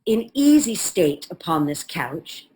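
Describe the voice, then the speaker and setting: Low-voiced woman narrator. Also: sing-song, slow, darkish tone, rough recording. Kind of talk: scripted radio play narration.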